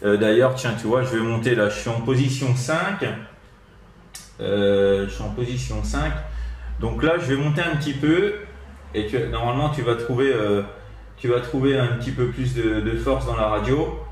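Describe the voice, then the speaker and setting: A man's voice: medium pitch, moderate pace, reverberant, slight background noise. A man chatting casually as a radio operator, hesitant and repetitive, often tagging his phrases with small filler words.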